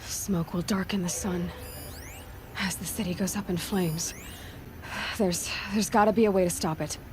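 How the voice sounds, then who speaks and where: A woman playing a resolute, sharp-minded young hunter in a video game, speaking in a measured, matter-of-fact way. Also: lowish voice, fairly even intonation, a little hiss or hum, lightly acted. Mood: focused, grave. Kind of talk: video game cutscene acting. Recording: music behind the voice.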